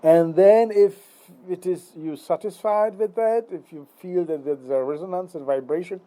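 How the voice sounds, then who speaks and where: A man's voice: sits high, warm tone. A man in his sixties, a native Czech speaker, giving a rambling philosophical monologue with many fillers and restarts. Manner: halting, many fillers and restarts, tag questions.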